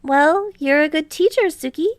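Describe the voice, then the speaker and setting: Woman voicing an eager, high-pitched teenage boy. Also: normal speed, no echo. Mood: playful teasing. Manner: energetic, playful, protesting. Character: eager, bright, teasing teenage boy volleyball player. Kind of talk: audiobook character voice in fan fiction reading.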